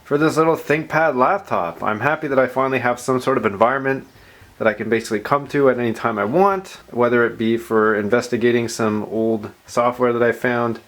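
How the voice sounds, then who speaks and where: A man hosting a tech video, chatting informally and rambling through a software demo with playful asides. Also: mid-range voice, a little fast. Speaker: tech channel host. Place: indoors at a desk in a room.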